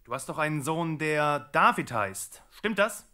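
A man's voice: a little high, lively intonation, a little slow, pushed and bright, full character voice. An actor playing a brusque, impatient king's servant giving orders in a biblical radio play. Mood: businesslike. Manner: commanding, impatient. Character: brusque, imperious male servant of a tyrant king. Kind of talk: German radio play acting.

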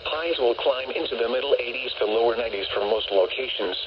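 A man announcing on weather radio, reading a scripted forecast in a stilted, measured, impersonal way through a small receiver speaker. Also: medium pitch. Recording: dull, very noisy weather radio broadcast.

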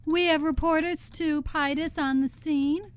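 A woman's voice: high voice, steady tone, a little slow, slight background noise. A young woman playing a prim, opinionated news anchor in a student radio play, formal and emphatic. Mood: brisk, formal. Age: young adult. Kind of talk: mock newscast in student radio theatre.

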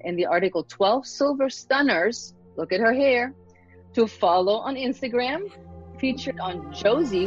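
A woman's voice: mid-range voice, a little mellow, slightly rough, no echo, highs cut off. A middle-aged woman from Germany hosting an interview podcast, gushing with enthusiasm about her guest. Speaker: podcast host.

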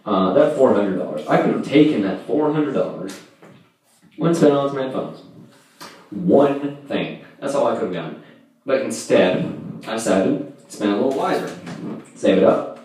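A young man doing a casual amateur stand-up routine in a halting, rambling, self-deprecating and profane way. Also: mid-range voice, lively intonation, darkish tone. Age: young adult. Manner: profane, vulgar. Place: indoors, small home room. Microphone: distant camera, handheld microphone in view. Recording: echoey room, far from camera.

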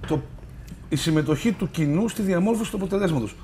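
A man's voice: high voice, lively intonation, mellow, a little hiss or hum. A man speaking as a football pundit on a panel, who makes joking asides and insists on finishing his point. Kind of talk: studio panel discussion.

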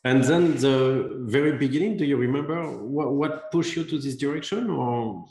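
A man's voice: mid-range voice, moderate pace, a little mellow. A man in his forties hosting an interview, asking questions hesitantly with many fillers at a desk mic in a studio room. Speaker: interview host. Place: studio room with large plant and backdrop. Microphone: desk podcast mic. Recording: roomy echo.

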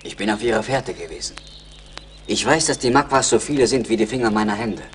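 An actor playing a proud, eager young Mohican scout in a radio play, speaking in a declaring manner. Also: male voice, lowish voice, a little fast, fairly bright tone, slight background noise, lightly acted. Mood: proud, urgent. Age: young adult. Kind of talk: German radio play acting.

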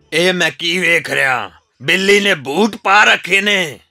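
A man voicing a huge, mocking ogre with a booming, drawn-out delivery in a children's fairy tale cartoon. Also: music in the background. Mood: mocking amusement.

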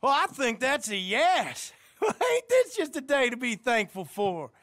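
A man's voice: very high-pitched, wildly swooping pitch, unhurried, fairly bright tone, no room sound, studio quality, heavily acted. An actor playing a creepy, overly friendly backwoods farmer in a video game, giggling and leering as he drawls.

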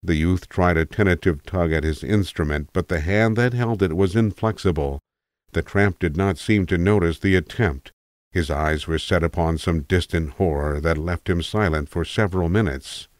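Deep-voiced man narrating a story. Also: normal speed, no room sound. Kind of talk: scripted literary narration.

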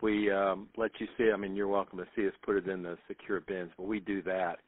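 A man's voice: sits low, little pitch movement, fast. A man working in customer service on a phone call, explaining things plainly and politely. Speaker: parcel shop worker taking a customer call. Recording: tinny phone line.

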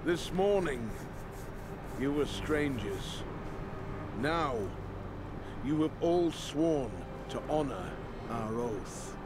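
An actor playing a stern old lord commander, giving a solemn, deliberate speech to his sworn brothers in a fantasy game. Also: on the high side, lively intonation, noisy background. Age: older. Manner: grave, deliberate, oratorical. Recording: game audio with clear background ambience.

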